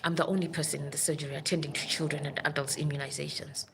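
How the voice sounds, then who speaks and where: A woman's voice: deep voice, flat delivery, quick pace, vocal fry, background noise. A woman who works as a nurse, telling a long story in a rambling, urgent way on a live stream. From Africa.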